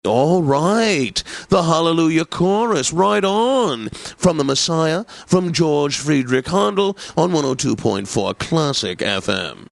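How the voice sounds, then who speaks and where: An actor playing a pompous, snobbish classical radio DJ for a satirical video game station, with formal, theatrical enunciation. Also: male voice, a little high, very animated, slow, creaky, hardly any pauses, no echo, exaggerated voice. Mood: pompous, obliging.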